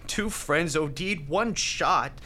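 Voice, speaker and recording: An actor in a radio drama playing a grieving, bitter young hospital volunteer, tough but tender, speaking bluntly and haltingly. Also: male voice, fairly high pitch, sharp tone, full character voice. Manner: halting, emotional, blunt street talk.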